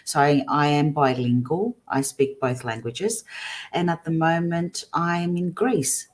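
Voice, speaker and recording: A woman from Australia with a notably low voice, introducing herself in a relaxed interview. She chats in a plain, easygoing way. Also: a little slow. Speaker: psychic guest. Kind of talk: casual interview chat.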